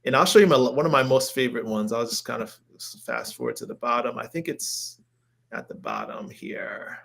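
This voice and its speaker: An enthusiastic man with a mid-pitched voice hosts a casual livestream as a health coach. He rambles as he browses, often repeating his phrases in an informal, chatty way. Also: very animated, moderate pace, creaky, fairly bright tone. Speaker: health coach hosting a live show. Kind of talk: casual livestream chat while browsing recipes.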